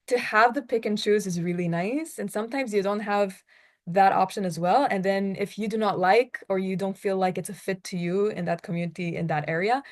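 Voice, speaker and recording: Young woman from the US, talkative student moderator, mid-pitched, quick asides. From United States. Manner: chatty, quick asides, laughs. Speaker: discussion moderator. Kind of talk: casual group discussion.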